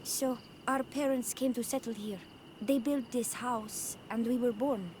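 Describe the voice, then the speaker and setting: A woman playing a gentle, thoughtful young village daughter in a video game, speaking simply and earnestly. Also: fairly high pitch, fairly even intonation, unhurried, a little hiss or hum, natural voice. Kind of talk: video game dialogue acting.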